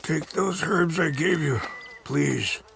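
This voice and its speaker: An actor playing a solemn, gentle older chief in a cinematic video game, husky and measured in his speech. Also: on the high side, slight background noise, heavily acted. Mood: sorrowful, hopeful.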